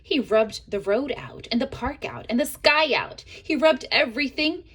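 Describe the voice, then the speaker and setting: A woman reading a children's picture book aloud in an expressive way, as a teacher would to young listeners. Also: high voice, slight background noise. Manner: expressive, pauses to ask listeners questions. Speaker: storybook reader, teacher.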